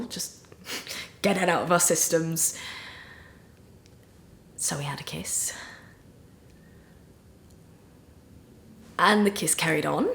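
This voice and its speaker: A woman playing a guilt-ridden, flustered young woman in a naturalistic dramatic monologue, halting and rambling as she confesses. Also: lowish voice, fairly bright tone, echoey room, full character voice. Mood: hesitant, confessional. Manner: halting, rambling, blurting, with nervous laughter. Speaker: acting student.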